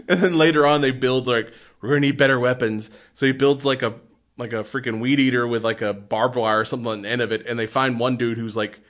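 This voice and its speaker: A man's voice: medium pitch, steady tone, brisk, fairly bright tone. A man co-hosting a horror film podcast in casual chat, joking and often laughing as he talks. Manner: jokey, laughs often while talking. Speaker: podcast co-host reviewing horror films.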